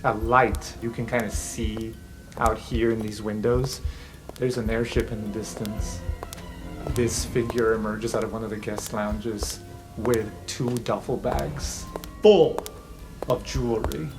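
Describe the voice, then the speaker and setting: A man's voice: fairly low pitch, fairly even intonation, measured pace, poor audio. Man narrating as game master over music. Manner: casual, loose narration with many fillers.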